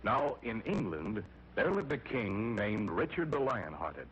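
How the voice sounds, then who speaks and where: A man's voice: on the low side, sing-song, unhurried. A man narrating a scripted children's story in a theatrical manner that addresses young listeners directly, on an old cartoon soundtrack. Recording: dull, noisy vintage cartoon soundtrack.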